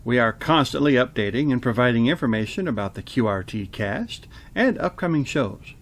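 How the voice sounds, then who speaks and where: A man's voice: mid-range voice, animated, moderate pace. A man hosting a radio theater show, reading announcements and trading remarks. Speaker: announcer and host.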